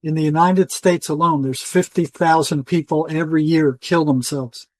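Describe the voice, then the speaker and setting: Older man, slightly high voice, insistent talker. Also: fairly even intonation, moderate pace, darkish tone, no echo. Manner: insistent, emphatic, repeats phrases for stress. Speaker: interview guest, retired psychotherapist. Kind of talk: conversational interview monologue.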